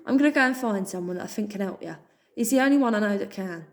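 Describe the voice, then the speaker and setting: A woman's voice: medium pitch, expressive, quick pace, lightly acted. A woman voicing a friendly, protective male terrier rescue dog who talks in an easy, reassuring way. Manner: easygoing and reassuring.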